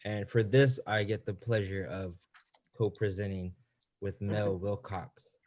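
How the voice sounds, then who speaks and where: A man presenting at an online teacher webinar, speaking in a relaxed, halting way with frequent fillers. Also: lowish voice.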